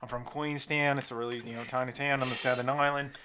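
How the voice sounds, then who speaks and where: A man's voice: medium pitch, fairly even intonation, quick pace, noisy background. A middle-aged man co-hosting a podcast, telling long profane stories in casual chat. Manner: profane, rambling storytelling. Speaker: podcast co-host.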